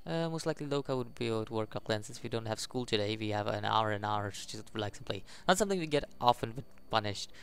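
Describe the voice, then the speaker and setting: A man hosting a gaming video, reading a visual novel aloud in a halting way, with casual commentary. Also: lowish voice, lively intonation, creaky, smooth. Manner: halting, stumbles over the text.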